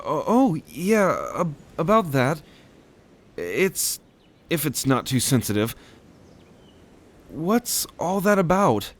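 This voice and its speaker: A man acts out a gentle young half-blood man in a roleplay audio drama. His voice is pitched up and takes its time, tentative and earnest, with halting phrases. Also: heavily acted. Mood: hesitant, curious.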